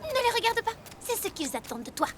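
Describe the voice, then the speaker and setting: A woman's voice: extremely high pitch, very animated, quick pace, background noise. A woman voicing a snobbish, bossy young female bug, a spoiled mayor's daughter, with haughty, dramatic delivery in a cartoon dub.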